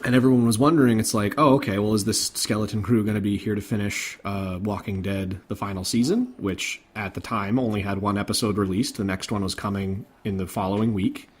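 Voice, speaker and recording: A man's voice: on the low side, fairly even intonation, brisk, few pauses. A man hosting a podcast, recounting news in an informal, conversational way. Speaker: podcast host. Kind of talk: casual podcast chat about game industry news.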